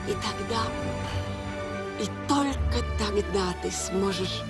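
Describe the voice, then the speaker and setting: A woman voicing a solemn ballet teacher, deliberate and intense, in a dubbed animated film. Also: medium pitch, over loud music, lightly acted. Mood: solemn, inspiring.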